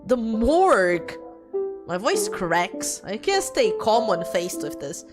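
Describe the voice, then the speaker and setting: Woman acting self-doubting young ghostly heroine, visual novel narration, emotive, introspective, expressive intonation, music underneath. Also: mid-range voice, slow pace. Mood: shaken, fearful. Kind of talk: dramatic reading of visual novel text. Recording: music behind the voice.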